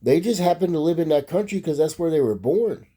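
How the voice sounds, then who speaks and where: A man's voice: a little high, expressive, brisk, warm tone. A man from Maryland, USA, delivering a profane, rambling casual monologue as a vlogger.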